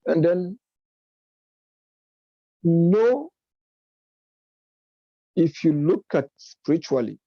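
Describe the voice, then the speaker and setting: A man's voice: on the high side, lively intonation, no echo, highs cut off. A man giving an unscripted lecture-style commentary, arguing step by step and correcting himself as he goes. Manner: argumentative, self-correcting, measured delivery. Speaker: speaker on politics and religion.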